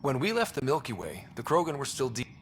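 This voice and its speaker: An actor playing an earnest, inquisitive young space explorer in a video game, with reverb and noise around him. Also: male voice, mid-range voice, wildly swooping pitch, quick pace. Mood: curious. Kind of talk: video game dialogue acting.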